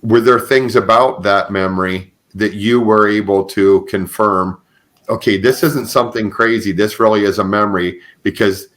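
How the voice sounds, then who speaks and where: A man hosting a podcast, interviewing in a conversational, halting way and rephrasing as he goes. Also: fairly low pitch, steady tone, a bit bright. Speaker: podcast host.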